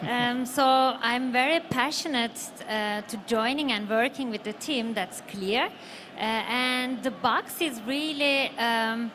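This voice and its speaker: Turkish lady academic w/ turkish accent on a panel, highish voice, halting, slight background noise. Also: a bit bright. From Turkey. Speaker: university academic, panel member. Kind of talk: live panel discussion. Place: live stream stage at a busy event.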